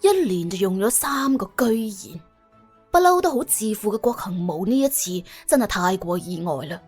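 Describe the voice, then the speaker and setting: A woman's voice: mid-range voice, lively intonation, moderate pace, a little mellow, breathy edge, faint music behind. A woman with a Cantonese accent narrating a story in a dramatic, colloquial, humorous way. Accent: Hong Kong or Guangdong Cantonese. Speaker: audiobook narrator. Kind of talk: colourful scripted story narration.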